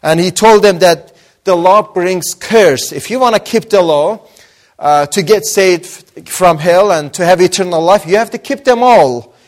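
A man preaching in a slightly higher voice, his accent a blend of Azeri, Persian and Australian. He reads scripture slowly and prays, often pausing on fillers, then rises into forceful, emphatic points. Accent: Azeri, Persian and Australian mix. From Azerbaijani-Iranian background. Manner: builds from soft opening to raised emphatic preaching; hesitant fillers between phrases. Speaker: guest preacher. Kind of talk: sermon with scripture reading and prayer.

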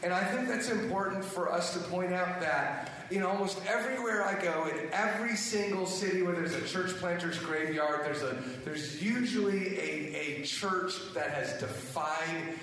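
A slightly hoarse man, a pastor, talks with animated energy to a conference audience, often restarting his sentences. His voice is on the higher side for a man. He is heard from a distance in a very echoey hall. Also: moderate pace, a little hiss or hum. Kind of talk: conference panel talk.

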